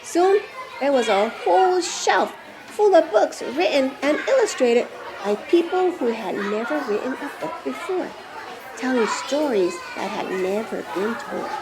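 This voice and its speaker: A woman reading a children's story aloud expressively, with slow, deliberate, dramatic emphasis on key words. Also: sits high, big pitch swings, a crowd in the background, background noise. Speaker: storytime reader.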